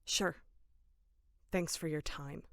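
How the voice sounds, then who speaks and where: A woman's voice: deep voice, fairly even intonation, takes it slowly, creaky, dry recording, studio quality, heavily acted. A woman playing a serious, determined young camp counselor in a horror audio drama, earnest and firm. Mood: disbelieving, curt. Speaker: voice actor.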